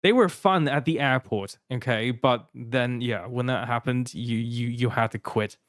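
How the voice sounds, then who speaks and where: A man's voice: mid-range voice, unhurried, fairly bright tone, no echo, clean studio sound. Taiwanese-accented man telling a rambling story. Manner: rambling, many restarts and fillers, laughing while telling. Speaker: podcast co-host. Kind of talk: casual storytelling.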